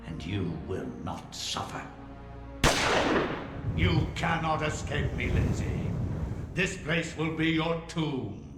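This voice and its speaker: A man voicing an ancient, arrogant villain in a video game, menacing and theatrical. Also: fairly high pitch. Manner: slow, menacing, theatrical delivery. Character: ancient arrogant male villain serving a dark god. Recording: echoing voice under clear music.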